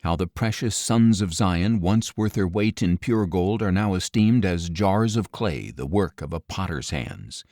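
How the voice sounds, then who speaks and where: Male scripture narrator, lower register, solemn and deliberate phrasing, very dry studio-style sound. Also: lively intonation, dark tone, no echo. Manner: measured, grave delivery with deliberate phrasing. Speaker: audiobook narrator.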